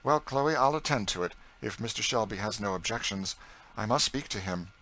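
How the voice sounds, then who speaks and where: Man as a genteel, bright-voiced lady of the house. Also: on the low side, animated, normal speed, slight background noise, low-quality recording. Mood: kindly. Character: genteel, kindly, composed mistress of a household. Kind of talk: audiobook character dialogue.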